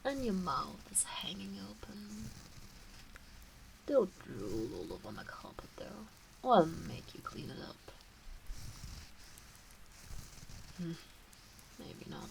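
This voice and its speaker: A woman voicing a teasing, doting kitsune witch in an intimate ASMR roleplay, cooing softly and often dropping into whispers. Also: medium pitch, gravelly, background noise, full character voice. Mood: tender, soothing, then playfully stern.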